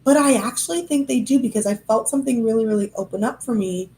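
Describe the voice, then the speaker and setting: A young adult woman with a gravelly, creaky edge and a nasal, cold-stuffed voice hosts a casual livestream. She rambles cheerfully and amuses herself as she talks to her viewers from an apartment. There is a faint hiss of noise under her voice. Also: on the high side, quick pace, warm tone. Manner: chatty, rambling, self-amused. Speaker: podcast host. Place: high-rise apartment indoors.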